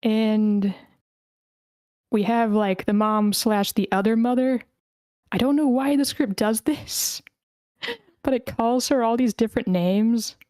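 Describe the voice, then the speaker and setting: A woman's voice: mid-range voice, darkish tone, clear, resonant tone, dry recording. A woman casually hosting an online table read, speaking informally and a little hesitantly, in an accommodating way, close to the microphone. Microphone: close microphone on an online voice call.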